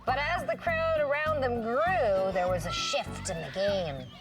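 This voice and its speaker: High, animated woman reading a storybook. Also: unhurried, far from the mic, loud music behind the voice. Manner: animated, dramatic interjections. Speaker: children's show host reading a storybook. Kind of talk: expressive storybook reading with questions to children.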